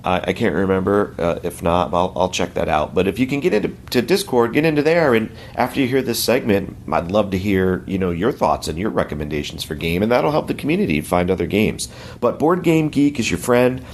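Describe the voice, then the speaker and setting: Hoarse, gravelly middle-aged man. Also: on the low side, animated, brisk, a little hiss or hum. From United States. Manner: rambling, friendly, self-correcting. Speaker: podcast regular. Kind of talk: casual podcast segment.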